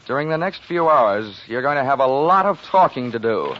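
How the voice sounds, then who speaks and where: An actor playing a cocky, wisecracking hardboiled investigator with dry sardonic delivery in 1940s radio drama style. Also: male voice, medium pitch, big pitch swings, normal speed, lightly acted. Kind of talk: 1940s radio acting with first-person narration. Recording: dull 1940s radio recording with music.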